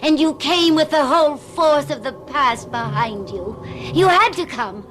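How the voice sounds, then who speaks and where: A spellbinding witch princess who claims her lover with slow, hypnotic insistence. Her voice sits fairly high. Music plays clearly behind her in this old-time radio play. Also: lively intonation, a bit bright. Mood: fierce. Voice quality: hypnotic, intense. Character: seductive witch princess Ilsa, possessive. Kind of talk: 1970s radio drama acting.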